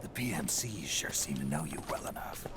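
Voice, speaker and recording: An actor playing a gruff, weary aged soldier in a video game, with a very gravelly, breathy growl and a terse, skeptical manner. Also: male voice, extremely low pitch, animated, normal speed, whispered. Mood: gruff, guarded. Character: gruff, weary, prematurely aged veteran soldier on covert mission. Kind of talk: video game cutscene acting.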